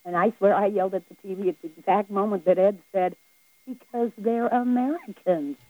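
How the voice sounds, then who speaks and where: A woman's voice: medium pitch, fairly quick, no room sound, narrow, tinny audio. A woman calling into a radio show with a scratchy voice, telling an indignant story with emphasis. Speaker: caller. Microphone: phone line.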